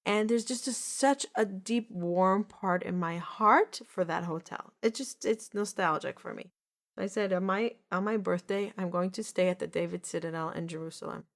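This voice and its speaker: A woman's voice: fairly low pitch, normal speed. A woman in her forties telling a personal story in an earnest, repetitive way on a podcast, often restarting her sentences. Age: 40s. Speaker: podcast host.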